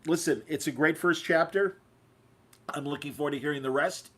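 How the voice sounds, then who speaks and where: A man's voice: a little high, fairly quick, fairly bright tone. A man hosting a casual interview podcast, chatty and effusive, often stammering and repeating his words as he goes. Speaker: podcast host.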